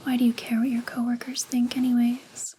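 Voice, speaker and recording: A woman playing a possessive, doting female boss in a soft-spoken ASMR roleplay, breathy and mostly whispered, intimate and coaxing, close to the microphone. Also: a little high, monotone, a little fast, a little hiss or hum, lightly acted. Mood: protective, controlling.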